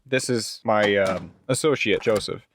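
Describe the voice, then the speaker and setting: Lower-voiced man planning during a tabletop game. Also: measured pace, fairly bright tone, slight background noise. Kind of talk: casual in-game planning and roleplay.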